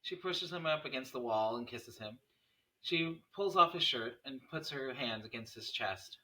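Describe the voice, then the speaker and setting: A man's voice: mid-range voice, lively intonation, normal speed, pushed and bright, poor audio. A man narrating stage directions and scene headings from a screenplay at a table read.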